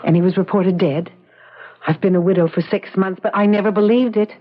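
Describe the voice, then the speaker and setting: A woman's voice: mid-range voice, steady tone, a little fast, close to an everyday voice. An actress playing an earnest middle-aged wife in a radio drama, urgent and insistent as she pleads. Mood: urgent conviction. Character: determined, pleading middle-aged wife seeking missing husband. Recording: tinny, narrow-band old radio recording.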